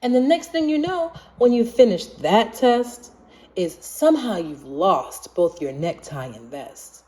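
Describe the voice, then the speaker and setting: Slow, animated woman reading a storybook. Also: medium pitch. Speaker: children's book reader. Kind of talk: storybook read-aloud, rhyming narration.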